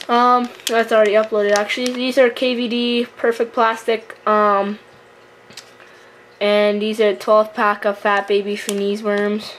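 A young woman from Canada giving a casual, halting gear tour as a fishing vlogger, recorded at home on a camera microphone. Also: mid-range voice. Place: room at home with wooden floor. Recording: noisy amateur camera recording, handling clicks.

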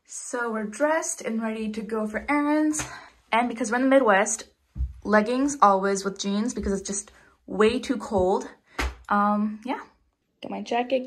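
A woman's voice: a little high, slight background noise. Chatty young woman vlogging. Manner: chatty, filler-heavy, talks to the viewer. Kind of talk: casual vlog narration.

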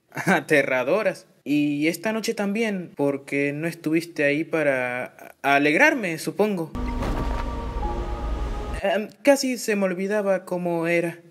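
A young man acts out a kind young male robot from a cartoon. He is earnest and protective, with expressive swings of pitch and an unhurried pace. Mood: gentle, reassuring. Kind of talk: animated fandub acting. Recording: dramatic music under the voice. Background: music.

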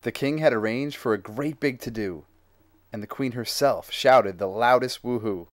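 A man's voice: medium pitch, normal speed, dry recording, studio quality, close to an everyday voice. A man voicing a grand, boastful male sea explorer who tells of his voyage in rhyming verse. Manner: rhyming, grand storytelling delivery.

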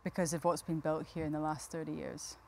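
A woman's voice: deep voice, steady tone, a little fast, vocal fry, a little hiss or hum. A woman in her thirties chatting casually as a guest co-host on a TV talk show. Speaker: guest co-host, company founder. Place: TV studio with sofas. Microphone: lapel mic.

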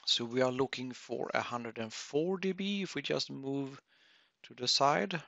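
Halting man, slow and bright-voiced. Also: medium pitch, dry recording, muffled recording. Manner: halting, thinking aloud, frequent throat clearing. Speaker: webinar presenter. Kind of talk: unscripted software tutorial.

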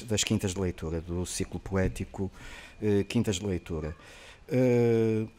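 A man's voice: fairly low pitch, dark tone. An older man presenting a poetry session outdoors in a park at a podium microphone, hesitant, with many fillers and repeats. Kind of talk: presentation with a read quotation. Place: outdoor park, under trees.

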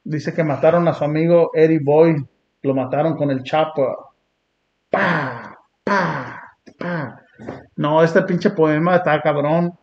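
A man from Long Beach, California, hosting a podcast and talking in an earnest, reflective way with blunt street slang. He sits on a small dark indoor studio set. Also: a little high, slow pace. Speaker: podcast host. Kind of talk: casual reaction chat. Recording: muffled lo-fi sound.

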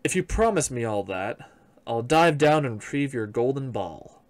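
A coaxing frog from a storybook well, low and slow as he bargains, with a man putting him on. The voice sits below the reader's usual tone. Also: lively intonation, darkish tone, dry recording, lightly acted. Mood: bargaining.

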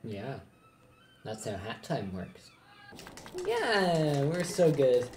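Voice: medium pitch, very animated, drawn-out words, very noisy. A gamer bantering casually and playfully through gameplay, with a sarcastic streak. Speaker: gamer co-playing on a shared controller. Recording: roomy echo, game music behind. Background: video game music and sounds.

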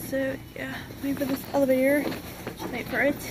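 A young woman chatting casually to her phone as she vlogs indoors, in a halting, rambling way that often repeats itself. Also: high voice, completely flat delivery. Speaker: convention vlogger. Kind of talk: casual handheld vlog chat. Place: hotel corridors, elevator and lobby, indoors. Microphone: phone at arm's length. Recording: noisy handheld phone recording.

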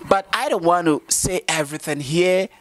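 A man's voice: a little high, moderate pace, dry recording. A young man hosting a street interview vlog, speaking emphatically with a handheld microphone. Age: young adult. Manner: animated, emphatic, gestures while talking to camera. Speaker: street interviewer and channel host. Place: outdoors on a campus walkway; indoor intro before a red curtain.

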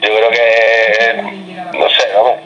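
A man's voice: lowish voice, completely flat delivery, slow pace, a bit bright, faint music behind. A man answering an unexpected phone call in conversation, puzzled, repeating himself and asking questions. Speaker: prank call recipient.

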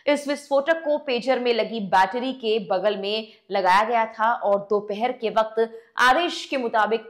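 Woman, thirties, slightly high voice, news presenter, scripted explainer narration, studio lapel mic. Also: fairly bright tone. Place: indoor studio.

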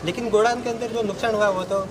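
A man's voice: high voice, fairly even intonation, quick pace, a crowd in the background. A young man, the shop owner's brother, explaining at length into a handheld news mic outdoors at night, rambling and repeating himself. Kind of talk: on-the-spot interview. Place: outdoors on a busy street at night. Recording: loud street and traffic noise.

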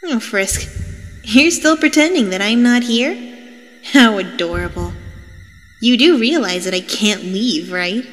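Woman doing evil mocking cartoon child, slow and menacing, big reverb, music behind. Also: on the high side, expressive, fairly bright tone. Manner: taunting, menacing, drawn-out. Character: sinister, mocking demonic child. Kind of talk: animated fan comic dub. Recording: long reverb over music.

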